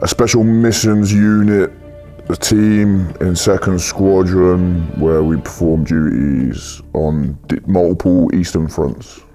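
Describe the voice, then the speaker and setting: Deep, flat-voiced soldier. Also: male voice, takes it slowly, soft background music. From United Kingdom. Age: 30s. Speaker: soldier interviewee. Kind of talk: interview testimony.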